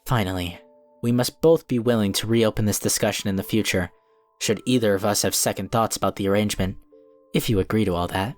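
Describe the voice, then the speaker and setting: A man playing a calm, diplomatic cookie wizard who speaks in an earnest, formal, measured way in a fan cartoon drama. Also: lowish voice, faint music behind, close to an everyday voice. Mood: calm, firm. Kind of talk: scripted fan audio drama acting.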